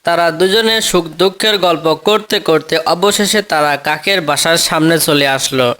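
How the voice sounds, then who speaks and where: A man's voice: fairly high pitch, normal speed, pushed and bright. A man narrating a scripted children's animal story.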